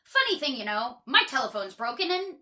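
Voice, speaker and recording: A woman's voice: sits high, sing-song, brisk, sharp tone, full character voice. A woman voicing a chatty male storybook neighbor who rambles on sociably. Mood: eager, chatty. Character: chatty, nosy male cartoon neighbor who never stops talking.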